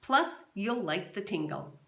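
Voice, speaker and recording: A woman's voice: medium pitch, very animated, takes it slowly, gravelly, a bit bright, exaggerated voice. A woman voicing a commercial announcer with a punchy sales read, recorded in a roomy, echoey space. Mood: salesy. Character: slick male ad announcer. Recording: roomy echo.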